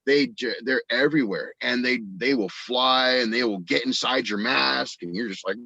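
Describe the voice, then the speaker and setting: A man from the United States chatting casually as an interview guest, rambling and halting as he feels for his words. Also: mid-range voice, creaky, sharp tone, no room sound. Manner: halting, rambling, frequent verbal hedging. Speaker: guest, audio drama actor and writer. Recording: dry, dull lo-fi voice recording.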